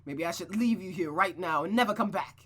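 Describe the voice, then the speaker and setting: A woman's voice: fairly low pitch, a little fast, slightly rough, pitched above the performer's own voice. A woman putting on a screaming, venomous religious mother. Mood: enraged. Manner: shouting, venomous. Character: furious abusive religious mother, cruel and shrill.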